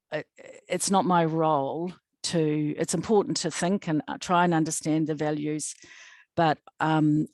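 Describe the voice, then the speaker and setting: A woman speaking reflectively on an online panel, halting and repeating words as she thinks aloud. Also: deep voice, vocal fry, darkish tone, no room sound. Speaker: project partner. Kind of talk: online webinar panel discussion. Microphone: video call.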